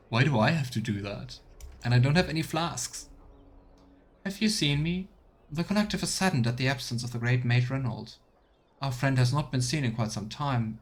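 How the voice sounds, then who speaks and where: Man doing chatty, playful game commentary. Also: medium pitch. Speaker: streamer playing a fantasy role-playing game. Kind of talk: let's play commentary, reading quest text aloud.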